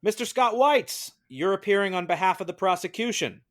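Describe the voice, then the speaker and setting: A man's voice: high-pitched, lively intonation, a little fast, fairly bright tone. A man hosting a mock trial as presiding judge, reading a script in a formal, ceremonious way.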